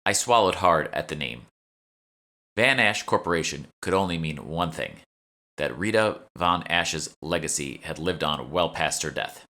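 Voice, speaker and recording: A man's voice: very deep voice, expressive, a little slow, sharp tone. A man narrating a first-person fantasy audiobook, reading from a script as a storyteller. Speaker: author reading own audiobook.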